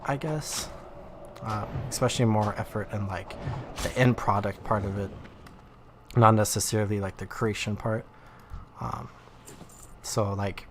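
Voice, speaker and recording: A man with a slightly nasal, stuffy voice talks through his gameplay in a loose, rambling way, leaning on filler words as he goes. His pitch sits in a middling range. A faint noise floor hangs under the recording. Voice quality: nasal from allergies. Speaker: gaming YouTuber. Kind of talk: casual let's play commentary.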